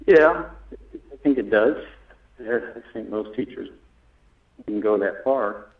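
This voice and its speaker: Over a thin, echoey phone connection, a man speaks in a fairly low voice. His delivery is casual and tentative, with sentences that tend to trail away. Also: takes it slowly. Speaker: job applicant on a phone call. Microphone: telephone. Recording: tinny, echoey phone line.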